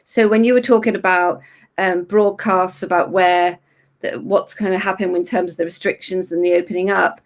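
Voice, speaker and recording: A middle-aged woman therapist with a croaky voice, giving thoughtful explanatory answers as an interview guest, restarting phrases now and then. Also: lowish voice, fairly even intonation, normal speed.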